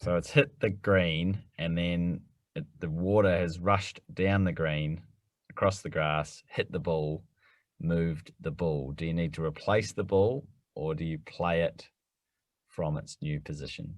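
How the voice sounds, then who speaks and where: A man co-hosting a golf rules podcast, explaining quickly and teasing his partner in casual chat. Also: low voice, steady tone, dry recording, studio quality. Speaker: podcast co-host.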